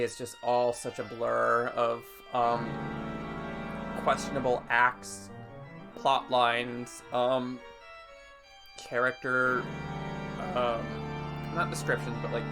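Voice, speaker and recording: Wry young man bantering over clear music. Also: medium pitch, flat delivery, takes it slowly. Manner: wry, joking, mock-grandiose. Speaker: livestream host. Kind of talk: casual banter on a livestream.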